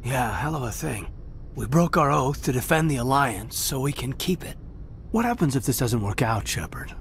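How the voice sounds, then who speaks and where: An actor voicing an earnest, loyal male soldier in a video game, soft-spoken and sometimes close to a whisper. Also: mid-range voice, big pitch swings, normal speed, a little hiss or hum. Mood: reflective. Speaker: soldier serving under the commander. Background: game music.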